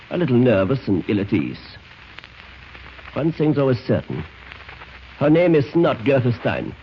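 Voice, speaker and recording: An actor playing a suave, menacing foreign spy ring leader in an old radio drama, with courtly, deliberate, formal phrasing. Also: male voice, mid-range voice. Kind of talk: 1940s radio drama acting. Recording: dull, noisy old radio recording.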